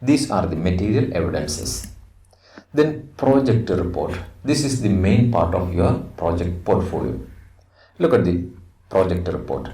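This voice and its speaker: A man with an Indian accent gives a lesson at a very slow, deliberate pace, phrase by phrase. His voice is fairly low and a little mellow. It is recorded away from the microphone in an echoey room. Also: lively intonation. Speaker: English teacher. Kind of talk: instructional lesson, reading slides aloud. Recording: roomy echo, distant microphone.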